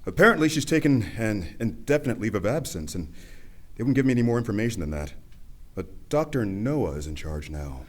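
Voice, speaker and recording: Man acting an exasperated police official, fairly deep, halting, apologetic, radio play delivery. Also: sing-song. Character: flustered, exasperated male police commissioner.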